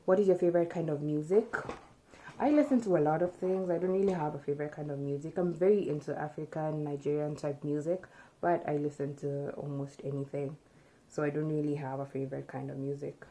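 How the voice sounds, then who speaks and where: Low mellow young woman vlogger. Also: low-quality recording. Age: young adult. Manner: relaxed, chatty, thinks aloud with fillers. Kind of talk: casual chat, answering tag questions. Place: small room indoors. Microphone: camera in front at close range.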